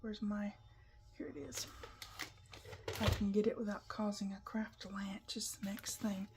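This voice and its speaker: Slow-talking croaky woman crafter. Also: medium pitch, fairly even intonation, background noise. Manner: chatty, instructive, talks while working with hands. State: croaky, clearing her throat. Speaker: papercraft tutorial host. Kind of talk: casual step-by-step craft demonstration.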